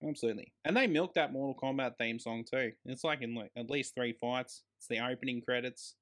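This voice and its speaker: Male Australian podcast co-host, average pitch, casual and keen, fond of bold statements about films. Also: fairly quick, a bit bright. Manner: enthusiastic, given to emphatic grand claims. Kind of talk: casual film discussion.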